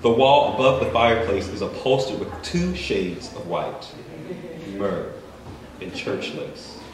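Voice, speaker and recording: A man reading his own fiction aloud at an open mic in a bookstore, measured and deliberate. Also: fairly low pitch, expressive, crowd noise. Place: bookstore event space, indoors. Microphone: stand mic, recorded by a far camera. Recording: echoey room from far off, faint noise.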